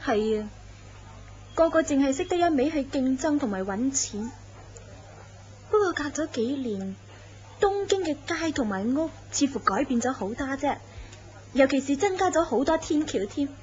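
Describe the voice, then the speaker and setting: A woman's voice: high-pitched, expressive, moderate pace, long pauses. A woman playing a teasing, scheming mistress. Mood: chatty. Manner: teasing, knowing, drifts into soft laughs. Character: scheming young mistress of a jealous tycoon. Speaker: actress in a horror radio drama. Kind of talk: radio drama acting. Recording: dull lo-fi recording.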